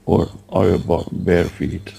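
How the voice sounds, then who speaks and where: A man leading a guided meditation, giving halting, unhurried instructions and asking gentle questions. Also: low voice, fairly even intonation, creaky, breathy edge.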